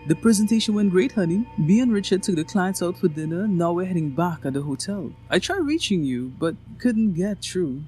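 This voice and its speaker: A man's voice: high-pitched, lively intonation, fairly quick, few pauses, a little mellow, soft background music, pitched above the performer's own voice. A man doing a chirpy traveling husband. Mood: affectionate. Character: cheerful middle-aged husband on a business trip.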